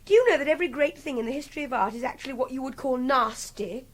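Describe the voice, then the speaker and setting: A high-pitched young English woman in a radio drama, scornful and imperious. She is articulate and emphatic, a captive art student with a volatile, mocking edge. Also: fast talker. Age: young adult. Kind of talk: BBC radio drama acting.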